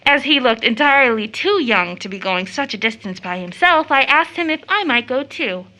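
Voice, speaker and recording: A woman's voice: high-pitched, very animated, measured pace, bright, forceful tone, heavily acted, low-quality recording. A young American woman acting a playful, affectionate, impulsive daughter in a period audio drama, with effusive, teasing, girlish delivery. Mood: excited, teasing.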